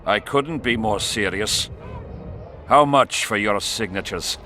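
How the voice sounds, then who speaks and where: A man's voice: on the low side, slow, pushed and bright, slight background noise. An actor playing a domineering, scheming middle-aged warrior king in a video game, with a commanding, sardonic manner. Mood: calculating. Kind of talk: dramatic video game acting.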